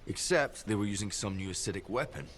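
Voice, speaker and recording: An actor playing a jaded, sardonic agent fallen from favor in a video game, dry in manner. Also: male voice, fairly low pitch, animated, fast, fairly bright tone, a little hiss or hum. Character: jaded sardonic male corporate agent in disgrace. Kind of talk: video game character dialogue.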